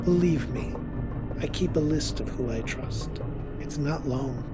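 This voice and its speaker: A man's voice: fairly high pitch, unhurried, music in the background, lightly acted. A man voicing a shrewd, sardonic witch hunter laying out deductions in a horror thriller story. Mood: wry accusation.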